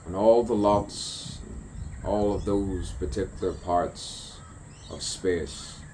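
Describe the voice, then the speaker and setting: Man, unhurried delivery, musing poetic monologue, repeated rhyming phrases, faint insect ambience. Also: sits low, warm tone, a little hiss or hum. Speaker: poet. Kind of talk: stream-of-consciousness spoken poetry monologue.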